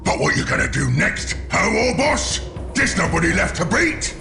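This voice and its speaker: An actor voicing brutish, boastful, war-hungry orks in a game, with a growling, gravelly voice. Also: male voice, high-pitched, very animated, moderate pace, full character voice. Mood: goading. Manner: shouted, swaggering, broken grammar. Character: brutish, boastful, war-hungry ork war boss and his crony. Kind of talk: game cutscene voice acting. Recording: music behind the voice. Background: background music.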